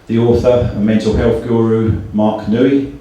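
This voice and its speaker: A man's voice: on the low side, steady tone, moderate pace, mellow. A middle-aged man hosting an event, giving a thank-you speech and reading out names in a hall. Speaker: event host and company director. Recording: very echoey hall, distant from microphone.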